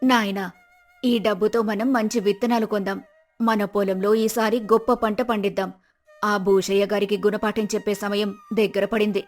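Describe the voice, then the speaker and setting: A woman voicing a shrewd, calm older village mother-in-law who speaks coaxingly in a moral story. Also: mid-range voice, normal speed, faint music behind, pitched below the performer's own voice, natural voice. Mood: calm, scheming.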